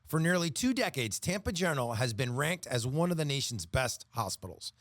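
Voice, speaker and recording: A male announcer delivers a scripted sponsor message in a middle-pitched voice. His intonation moves widely, and the sound is dry. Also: sing-song, fairly quick, fairly bright tone, dry recording. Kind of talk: scripted advertisement read.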